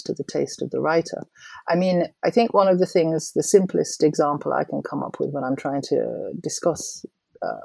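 Low gravelly-voiced woman novelist. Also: warm tone, no room sound, poor audio. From Jamaica. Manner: thoughtful, reflective, laughs as she talks. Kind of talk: conversational interview.

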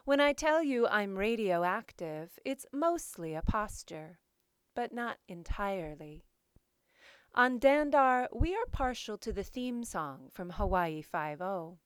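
A woman host reading literary work and scripted introductions in a measured, deliberate, expressive way. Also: mid-range voice, clear, resonant tone, no echo. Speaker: poetry show host and reader.

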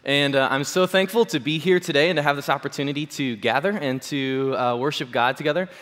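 Hoarse young pastor preaching in a hall. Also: male voice, medium pitch, fairly quick, a bit bright. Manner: chatty, self-deprecating, full of fillers. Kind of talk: sermon. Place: church hall. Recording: long hall reverb.

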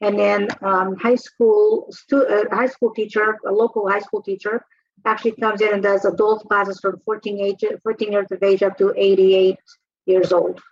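A woman's voice: medium pitch, level tone, moderate pace, no room sound, highs cut off. A woman from Angola who works for a social service agency, introducing herself politely and formally on a panel. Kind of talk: self-introduction.

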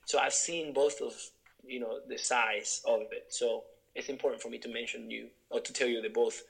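A man's voice: mid-range voice, steady tone, moderate pace, gravelly, fairly bright tone, poor audio. A young man from Honduras, a guest in an interview, talking earnestly and searchingly with many restarts. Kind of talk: reflective interview conversation.

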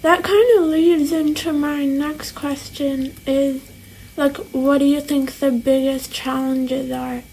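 A woman's voice: sits high, steady tone, slow pace, darkish tone, rough recording. A young woman asking questions casually as a podcast interviewer, over faint background noise.

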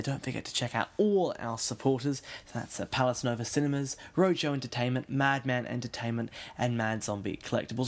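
A male host with a mid-pitched voice, brisk and polite. He asks interview questions and reads out the show's closing announcements. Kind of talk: interview questions and scripted sign-off.

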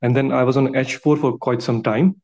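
A man from India calling in to ask for advice, speaking spontaneously in a hesitant, halting way. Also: mid-range voice, steady tone, darkish tone. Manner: hesitant, halting, heavy use of "like". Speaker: caller seeking immigration advice. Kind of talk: spontaneous question on a conference call.

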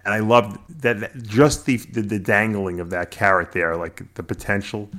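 A man's voice: on the low side, fairly even intonation, moderate pace, a bit bright. A middle-aged American man talking casually as an interview guest, explaining things in an emphatic, talkative way with repeated phrases. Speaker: podcast guest, bodybuilder and snake breeder.

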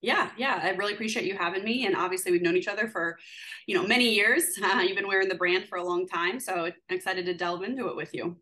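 A woman's voice: mid-range voice, fast, bright, forceful tone. A woman guest on a podcast, speaking in friendly casual conversation with many fillers. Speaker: podcast guest, apparel company owner. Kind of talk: casual interview.

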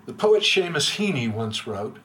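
A man in his sixties giving a prepared, literary lecture to a room of listeners, measured, with his voice dropping quiet at the ends of lines. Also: medium pitch, sing-song, a little hiss or hum. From United States. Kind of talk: reading a prepared reflective talk. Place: room with a live audience.

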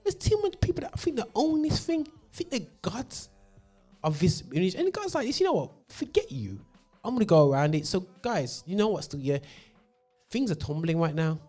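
Male radio host, mellow tone, passionate rant, faint music behind. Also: high-pitched, very animated, moderate pace. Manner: passionate, ranting, many fillers. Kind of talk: opinionated radio monologue. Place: studio.

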